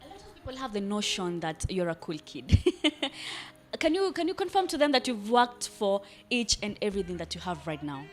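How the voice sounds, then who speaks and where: A woman's voice: medium pitch, big pitch swings, normal speed. A Kenyan woman hosting a radio interview, playful and laughing, slipping Swahili words into her questions. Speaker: radio host. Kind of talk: radio interview, casual chat.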